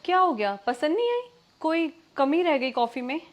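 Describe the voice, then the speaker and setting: A woman's voice: high-pitched, very animated, moderate pace. A young woman with an Indian accent acting a proud, easily stung, outspoken wife in a domestic drama. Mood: eager. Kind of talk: scripted domestic drama acting in Hindi with some English.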